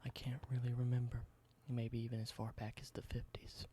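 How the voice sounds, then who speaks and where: A man in a whispered ASMR roleplay, softly explaining everyday objects slowly and simply. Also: medium pitch, completely flat delivery, slight background noise. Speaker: ASMR creator.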